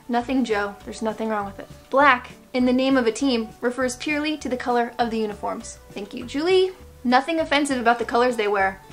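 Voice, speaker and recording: A fairly high-pitched American woman talks casually to her viewers over background music. She hosts like a vlogger reacting to comments, reading bits aloud and tossing in playful, sarcastic asides. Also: fairly bright tone. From United States. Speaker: vlog host.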